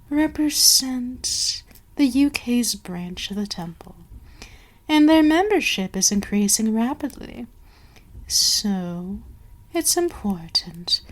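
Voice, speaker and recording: A woman playing a commanding vampire queen in an ASMR audio roleplay, speaking in a measured, formal way with soft whispery lapses. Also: fairly high pitch, low-quality recording. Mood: poised, businesslike. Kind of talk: slow, breathy ASMR roleplay monologue.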